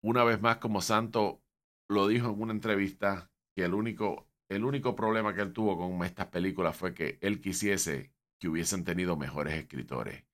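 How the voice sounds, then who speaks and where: A man's voice: sits low, fairly even intonation, normal speed, a bit bright. A man hosting a show, talking unscripted about film and wrestling history, rambling with frequent restarts and an emphatic, opinionated delivery.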